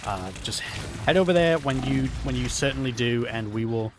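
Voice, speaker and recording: A man with a hoarse voice rambles in a plain mid-range pitch, telling absurd stories over his gameplay. He talks in a deadpan way, stacking fillers and restarting his sentences. A faint hiss of noise sits under his voice. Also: normal speed. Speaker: gaming YouTuber.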